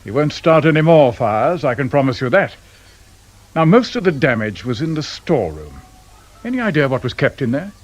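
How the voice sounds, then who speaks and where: An actor playing a polite, probing senior detective who questions crisply in a radio crime drama. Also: male voice, fairly high pitch, sing-song, moderate pace, dry recording. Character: courteous, probing male senior detective in charge.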